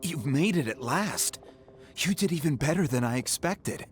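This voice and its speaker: Male actor doing an earnest, worried chief, high and expressive. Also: moderate pace, faint music behind, natural voice. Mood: approving. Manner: earnest and pleading. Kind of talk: video game voice acting.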